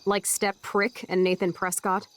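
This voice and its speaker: A woman's voice: medium pitch, level tone, unhurried, slight background noise, lightly acted. Woman doing a punky american teen girl, sarcastic and cocky, mid-range voice, video game acting. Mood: angry, anxious. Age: young adult. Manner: sardonic, swaggering. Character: brash, rebellious, sarcastic teenage girl, foul-mouthed punk.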